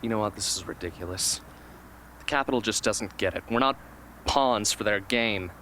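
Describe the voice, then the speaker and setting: A man playing an earnest, self-deprecating and quietly defiant teenage boy tribute in an amateur radio drama. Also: on the low side, moderate pace, a little hiss or hum.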